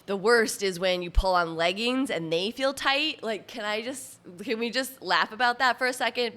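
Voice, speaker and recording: A chatty woman in her late forties hosts a solo podcast, her voice bright and a little forceful, with a mild nasal tone from allergies. She speaks at a medium pitch, rambling casually with fillers and frequent small laughs. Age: 40s. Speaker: podcast host, personal trainer.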